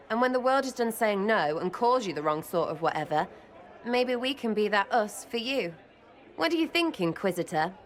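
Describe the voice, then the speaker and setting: A woman's voice: mid-range voice, natural voice. Brash young female rogue for a fantasy game, played by a woman: cheeky, slangy, blunt, loyal. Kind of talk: video game dialogue acting.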